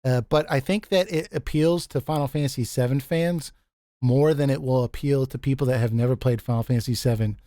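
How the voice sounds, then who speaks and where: A man with a deep voice hosting a casual group chat, easygoing and quick to laugh, often restarting his sentences. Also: mellow, no room sound, clean studio sound. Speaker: podcast host.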